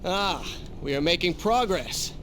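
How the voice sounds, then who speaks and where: An actor playing a young prince in a video game cutscene, sarcastic, brooding and impatient, with music behind. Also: male voice, sits high, sing-song, unhurried, background noise. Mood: subdued. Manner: sardonic, impatient. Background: cinematic music.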